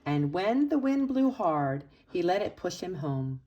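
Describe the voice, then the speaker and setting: A woman reading a children's picture book aloud expressively, with dramatic emphasis and pauses for young listeners. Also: fairly low pitch, big pitch swings, warm tone, dull audio. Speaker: storybook reader for children's church.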